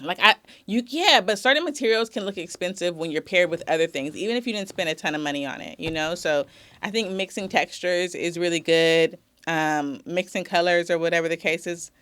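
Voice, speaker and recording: A woman's voice: on the low side, brisk, a bit bright. A woman in casual, playful conversation, teasing and stretching out words. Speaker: guest. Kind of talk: casual chat.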